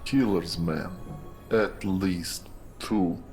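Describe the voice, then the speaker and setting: Man playing a slow, deep, menacing detective. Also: darkish tone, soft background music, full character voice. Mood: ominous. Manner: deliberate, drawn-out, insinuating. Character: menacing male detective, slow, coolly sinister. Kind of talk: noir audio drama acting.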